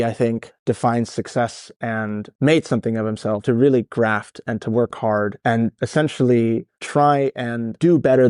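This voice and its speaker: A Dutch-born man in his thirties talks with an American accent, rambling and energetic. His voice sits at a middling pitch and is recorded dry, close to the mic. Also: clear, resonant tone, no room sound. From Netherlands, raised in California. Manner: energetic, rambling, swears casually. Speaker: guest, startup founder. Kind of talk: casual podcast interview.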